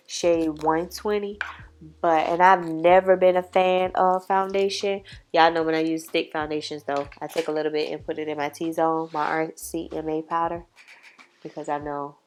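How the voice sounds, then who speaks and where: A woman's voice: fairly low pitch, steady tone. A congested woman, chatting casually to camera about makeup and giving her opinions. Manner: chatty, opinionated, drawn-out emphasis. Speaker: beauty vlogger. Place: home makeup setup with ring light. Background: soft background music.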